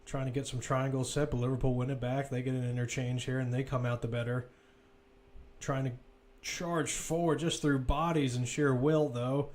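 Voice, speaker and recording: A man's voice: medium pitch, fairly even intonation, normal speed, darkish tone. A man giving live football play-by-play commentary, describing the action as it unfolds in short, clipped phrases. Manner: running present-tense description in clipped phrases.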